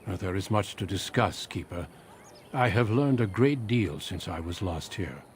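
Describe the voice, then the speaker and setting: A low-voiced veteran warrior from a fantasy game talks with calm courtesy and reserve. His lines are serious and deliberate, with faint natural ambience behind them. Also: slight background noise. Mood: grave, reserved. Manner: formal, grave, deliberate. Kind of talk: fantasy video game dialogue.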